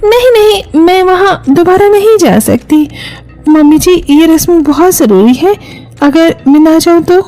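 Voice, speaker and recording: A woman's voice: very high-pitched, unhurried, mellow, soft background music. A woman voicing a timid, dutiful young bride in a Hindi moral story, hesitant and polite. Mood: anxious.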